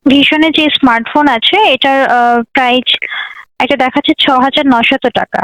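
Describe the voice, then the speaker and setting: Fast, high-voiced Bangladeshi woman call agent. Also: fairly even intonation, a bit bright, dry recording, low-quality recording. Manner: polite, formulaic. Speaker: customer service call agent. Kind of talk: scripted, formal phone customer service.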